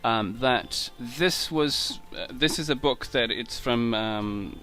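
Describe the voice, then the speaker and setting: A man from Portugal, hosting an interview show. His voice is bright and forceful at a middle pitch. He talks hesitantly, restarting his phrases and laughing often. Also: takes it slowly, faint music behind. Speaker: host. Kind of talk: casual interview.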